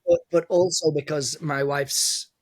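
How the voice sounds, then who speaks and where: A man's voice: fairly high pitch, fairly even intonation, unhurried, no room sound. Raised man chatting, hesitant. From Italy. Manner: hesitant, many restarts and fillers, laughs often. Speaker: guest DJ and producer. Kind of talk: casual interview chat.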